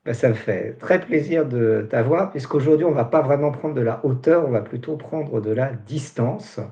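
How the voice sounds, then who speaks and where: Male host, 40s, teasing and chatty, mellow voice. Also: medium pitch, moderate pace, dull audio. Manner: joking, chatty, many fillers. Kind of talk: casual interview chat.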